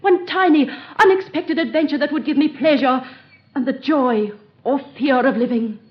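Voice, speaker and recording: A woman's voice: sits high, normal speed, lightly acted. An actress playing a haughty, bored and cruel queen of ancient Egypt, in 1930s radio drama style with archaic, imperious diction. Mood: weary, bored. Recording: dull old radio recording.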